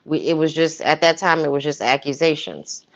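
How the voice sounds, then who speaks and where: Low-voiced woman in muffled livestream chat. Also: moderate pace. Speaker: co-host of a livestream. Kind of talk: casual gossip commentary chat. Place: livestream call.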